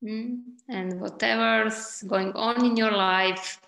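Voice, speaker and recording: A woman speaks slowly and gently in halting English, encouraging her listeners. Her voice sits a little high. The video call audio carries a roomy echo. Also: fairly even intonation, creaky. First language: Croatian. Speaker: class organizer and translator.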